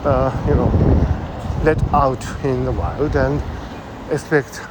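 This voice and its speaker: Japanese accent guy rambling slowly while walking, lots of ums, city traffic roaring behind him. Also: medium pitch. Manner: thoughtful, halting, many fillers. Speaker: vlogger. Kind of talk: musing monologue while walking. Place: busy city street outdoors. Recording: loud street traffic behind.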